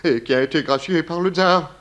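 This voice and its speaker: An actor playing an effusive, tearful, gossipy lodger in a 1960s French radio play, with florid, hesitant, theatrical speech. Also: male voice, on the high side, brisk, fairly bright tone, dull audio, lightly acted. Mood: excited gossip.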